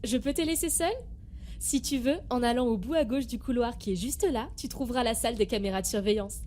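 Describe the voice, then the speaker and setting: A woman's voice: a little high, brisk, a little mellow, slight background noise, lightly acted. A woman playing a kindly communications officer minding a child in an audio drama, reassuring and playful.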